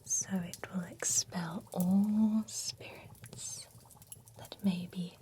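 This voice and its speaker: Woman doing a super slow whispery spa lady for monsters, gentle and a bit teasing. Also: on the low side, fairly even intonation. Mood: soothing, teasing. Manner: soft unvoiced whisper, teasing. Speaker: ASMR creator. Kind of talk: whispered ASMR roleplay.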